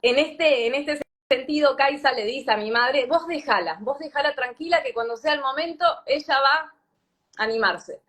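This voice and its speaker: A woman in her thirties with an Argentine Spanish accent, from Patagonia, telling her story as a guest in an interview, thoughtful and pausing often with fillers. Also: high-pitched, lively intonation, a bit bright. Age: 30s. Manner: thoughtful, many fillers and restarts. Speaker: yoga teacher, guest. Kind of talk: reflective interview talk.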